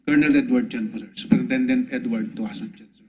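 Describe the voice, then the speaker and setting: A man's voice: mid-range voice, fast talker, dark tone, slight background noise. A man with a Filipino accent, a police officer speaking formally and deferentially.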